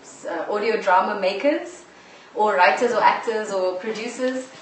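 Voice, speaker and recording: A woman's voice: mid-range voice, moderate pace. Woman, distant and very echoey. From South Africa. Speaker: audio drama producer. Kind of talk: explanatory interview answer. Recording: echoey, distant live stream, faint noise.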